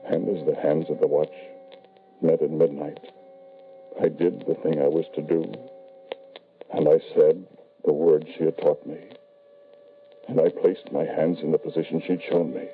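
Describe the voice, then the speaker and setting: An actor playing a grieving, doting middle-aged father in 1940s radio horror, dramatic and halting, on a tinny old recording. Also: extremely low pitch, little pitch movement. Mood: haunted narrating. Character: grieving, desperate, doting father who summons his dead son.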